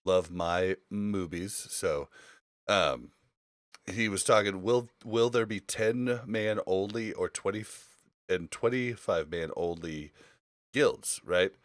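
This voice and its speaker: A man's voice: sits low, slow pace, no room sound, clean studio sound. A man hosting a casual gaming podcast, explaining things in an informal, rambling way. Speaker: podcast host.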